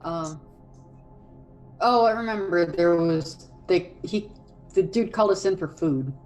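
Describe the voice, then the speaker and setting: A hoarse woman playing in a tabletop game, talking in a halting, casual way. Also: lowish voice, darkish tone, soft background music, poor audio. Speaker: player. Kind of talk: casual chat.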